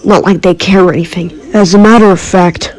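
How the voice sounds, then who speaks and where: A male storyteller with a notably high voice. He reads scripted first-person narration for a comic horror tale. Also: steady tone, a little fast, a crowd in the background, rough recording.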